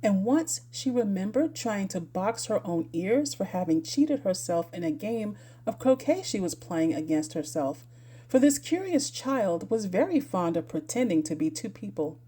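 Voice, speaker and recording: A woman reading a classic children's story aloud as an expressive audiobook narrator. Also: mid-range voice, normal speed, slightly rough. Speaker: audiobook reader.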